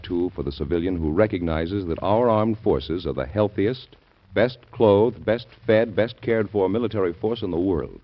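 A man announcing in a solemn, measured way during a 1940s radio broadcast, on a dull old recording. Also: low-pitched.

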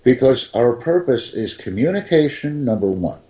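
A man teaching English conversation, speaking slowly and deliberately and repeating his key points. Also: medium pitch, very animated, dark tone, dull audio. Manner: slow, carefully enunciated, repeats key phrases. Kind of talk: slow, deliberate teaching talk.

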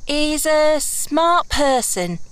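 A woman's voice: sits high, big pitch swings, extremely slow, a bit bright, no echo, full character voice. A woman playing a brash, blunt runaway heiress turned thief in a fantasy audio drama, sarcastic and colloquial with old-fashioned turns of phrase. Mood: curious, teasing.

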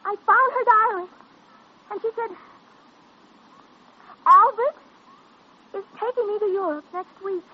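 Very high-voiced, distraught young woman. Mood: haunted, agitated. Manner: hesitant, halting, repeating herself. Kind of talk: old-time radio drama acting. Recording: dull, noisy vintage radio recording.

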